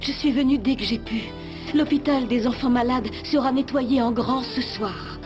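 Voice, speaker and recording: A woman voicing a serious undercover informant in a French animated series, speaking in a grave, measured way. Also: on the high side, natural voice. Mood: grave, urgent. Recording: music under the voice.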